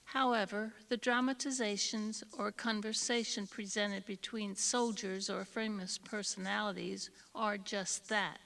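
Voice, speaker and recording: A woman reading scripted instructions as an announcer, formal and measured. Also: mid-range voice, steady tone, vocal fry, a bit bright, far from the mic. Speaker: announcer giving directions.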